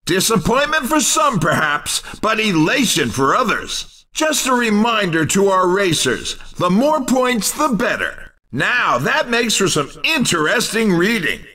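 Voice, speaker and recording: A man's voice: high voice, very animated, a little slow, pushed and bright, far from the mic, full character voice. A man playing an exuberant, upbeat race commentator in a video game, with punchy, theatrical, emphatic delivery. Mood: excited, celebratory. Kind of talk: excited arcade racing game announcing.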